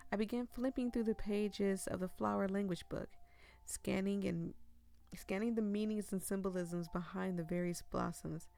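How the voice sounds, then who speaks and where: A hoarse woman plays through a story game, reading the narration aloud and breaking off to chat. Her mid-pitched voice carries a lot of gravelly fry, and her talk is giggly and loose. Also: darkish tone. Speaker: game streamer.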